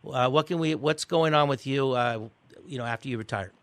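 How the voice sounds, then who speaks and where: A man hosting a weekend radio talk show, chatting with guests in a halting way with many restarts, then reading the sign-off. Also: mid-range voice, clear, resonant tone, no room sound. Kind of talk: casual radio interview and sign-off.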